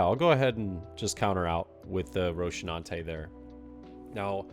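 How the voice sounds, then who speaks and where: Congested man with a very low voice. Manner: relaxed, filler-heavy, self-critical play-by-play. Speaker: card game player and commentator. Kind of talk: casual commentary over a recorded match.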